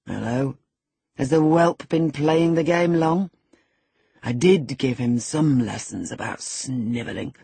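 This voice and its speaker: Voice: mid-range voice, takes it slowly, vocal fry, husky, no room sound, full character voice. A narrator voicing a menacing, sardonic middle-aged man who commands and sneers, in an audiobook of a classic novel. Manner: sneering, commanding, alternately muttering and shouting.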